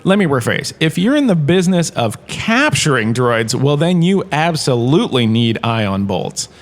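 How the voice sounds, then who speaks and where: A man's voice: mid-range voice, very animated, moderate pace, lightly acted. A man playing a washed-up showbiz star turned university lecturer, giving a chatty, jokey scripted lecture in a comedy audio drama. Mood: playful, chatty. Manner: chatty, jokey, showman-like salesman patter.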